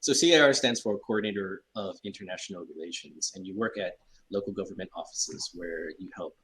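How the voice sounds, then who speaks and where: A man with a very deep, husky voice. He answers as an interview guest, explaining things plainly and evenly. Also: fairly quick, a bit bright, poor audio. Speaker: guest translator. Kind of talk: casual interview chat.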